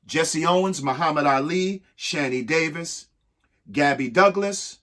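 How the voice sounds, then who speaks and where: A man reading a children's picture book aloud in a theatrical, emphatic way, with dramatic pauses and asides to young listeners. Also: fairly high pitch, pushed and bright.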